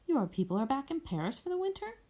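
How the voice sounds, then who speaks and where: A woman voices a proud old male tramp from a storybook. He is grumpy and haughty, with formal turns of phrase. The voice is soft-edged and mellow, with some creak in it. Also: high-pitched, big pitch swings, quick pace, voice pushed up. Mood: greeting.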